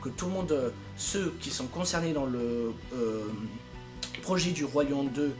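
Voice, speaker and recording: A man with a mid-range voice talks informally to online followers. His delivery is unscripted, rambling and halting. Music plays clearly behind him. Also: medium pitch. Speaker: amateur fandub project leader and YouTuber. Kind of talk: casual, unscripted video update to followers.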